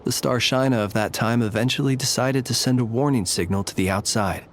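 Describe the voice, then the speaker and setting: An actor playing a solemn, courteous graveyard lightkeeper in a video game, speaking in a formal, measured, old-fashioned way. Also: male voice, medium pitch, a little hiss or hum, natural voice. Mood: solemn, thoughtful. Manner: formal, measured, archaic diction.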